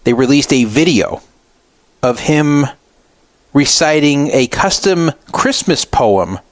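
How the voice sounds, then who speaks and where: A man's voice: a little high, animated, slow, dry recording. A man hosting a radio show, giving casual critical commentary in a sarcastic, mocking manner.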